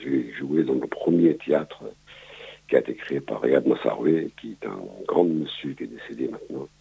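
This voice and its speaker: Very deep gravelly older man. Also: moderate pace, warm tone. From Palestine. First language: Arabic. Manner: hesitant, many fillers and repeated words. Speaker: guest. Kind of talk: radio interview, life story. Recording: dull radio broadcast sound.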